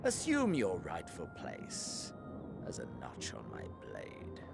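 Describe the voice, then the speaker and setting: An actor playing an arrogant warrior villain who speaks in a slow, grandiose, menacing way in a video game cutscene. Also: male voice, extremely low pitch, wildly swooping pitch, gravelly, full character voice. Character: deep-voiced arrogant male warrior villain. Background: game score music.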